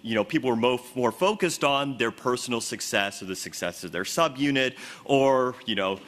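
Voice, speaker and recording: A man, a professor, giving a measured, academic introduction of a guest speaker in a university lecture room. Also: mid-range voice, animated, fairly bright tone. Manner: measured, academic, sprinkled with hedges.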